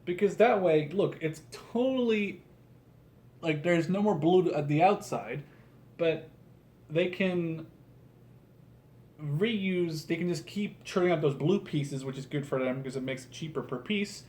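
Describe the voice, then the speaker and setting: A man in his twenties chatting casually as a video host while he builds, rambling, halting and self-deprecating. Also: fairly high pitch, darkish tone. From Netherlands. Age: 20s. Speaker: YouTube host. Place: small room at home, indoors at a table. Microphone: camera across the table. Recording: room noise, clicking pieces, slightly distant mic.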